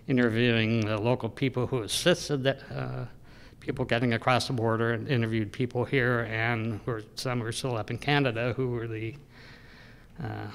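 An older man in his sixties gives an informal talk into a podium microphone. His delivery is halting and loosely rambling, with a middle-pitched voice. The room adds a long, echoey reverb around his words. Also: gravelly. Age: 60s. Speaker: local history journal editor speaking at an event. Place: indoor public room, speaking at a podium. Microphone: gooseneck podium microphone.

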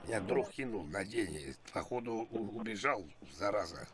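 A man's voice: on the low side, lively intonation, normal speed. A man making an improvised prank phone call, rambling and repeating himself with feigned naive helplessness. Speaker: prank caller.

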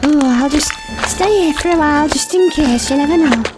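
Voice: high-pitched, moderate pace, lightly acted. A performer voicing a prim, chatty talking cow in a video game, fussy and genteel, full of exclamations, with music underneath. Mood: flustered.

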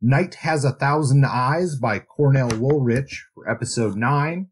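A male podcast co-host with a medium-pitched voice. He discusses books casually, in a reflective, explanatory manner. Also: unhurried, slight background noise.

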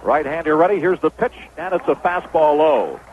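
A man announces baseball play-by-play in a fairly high voice. The sound is a narrow, tinny old AM radio broadcast with some faint noise behind him. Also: lively intonation, moderate pace. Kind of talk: radio sports commentary.

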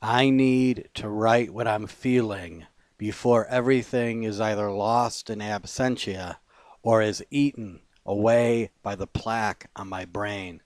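A man reading poetry as a poet, in measured, deliberate phrases with pauses. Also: fairly low pitch, steady tone, darkish tone, no room sound.

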